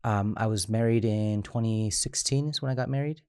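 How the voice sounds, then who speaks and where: A man in his thirties from Mexico, an immigrant rights organizer speaking in an interview, halting with frequent restarts. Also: on the low side, a little mellow, smooth, no echo, clean studio sound. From Chiapas, Mexico. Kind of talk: interview conversation.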